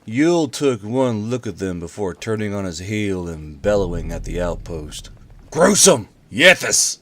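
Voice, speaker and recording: Male narrator-host, lower-pitched voice, offhand and casual, for story readings and channel talk. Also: moderate pace, dark tone. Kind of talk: casual intro, then audiobook-style story narration.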